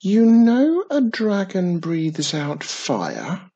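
A storyteller voicing a wary little old man who tells spooky tales slowly and with emphasis. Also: mid-range voice, very animated, dark tone, slightly rough, dull audio, pitched above the performer's own voice, exaggerated voice. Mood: dramatic. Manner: slow, emphatic, dramatic. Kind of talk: storybook reading.

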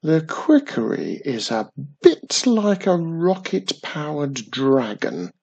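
A storyteller voicing a wary little old man who tells spooky tales slowly and with emphasis. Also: medium pitch, big pitch swings, vocal fry, a little mellow, breathy edge, highs cut off, voice pushed up, heavily acted. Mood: dramatic. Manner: slow, emphatic, dramatic. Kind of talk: storybook reading.

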